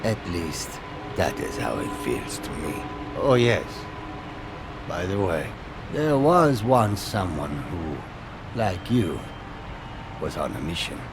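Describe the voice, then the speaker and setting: A man playing a gentle, serene hermit monk in a video game cutscene, speaking in a measured, formal, musing way. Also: deep voice, sing-song, noisy background, exaggerated voice. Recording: loud music behind the voice.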